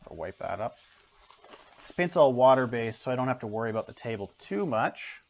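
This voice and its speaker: A man's voice: mid-range voice, brisk, gravelly. A man, an art educator in his home studio, talking casually through a painting demo with lots of ums and uhs. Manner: relaxed, talks through steps with many fillers.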